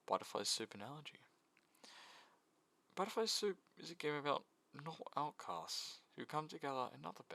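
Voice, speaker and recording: A man's voice: mid-range voice, creaky, bright, forceful tone. A man giving a casual, jokey video essay about games, with mock sincerity.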